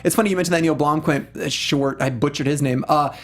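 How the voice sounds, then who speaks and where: A man's voice: a little high, quick pace. A man co-hosting a casual film review discussion, giving emphatic, informal opinions. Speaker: talk show co-host.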